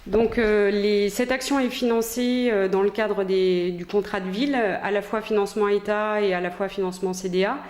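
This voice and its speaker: A woman explaining as a social centre presenter, pausing often as she goes. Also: mid-range voice, fairly even intonation, a little mellow. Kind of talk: explanatory interview talk. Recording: roomy echo.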